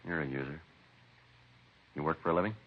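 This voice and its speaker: A man's voice: a bass voice, a little fast, a little hiss or hum. A man playing a terse, methodical police detective in a 1950s radio drama, matter-of-fact and unbelieving as he questions a suspect. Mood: dry, accusing. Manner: clipped, matter-of-fact interrogation. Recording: dull vintage radio recording.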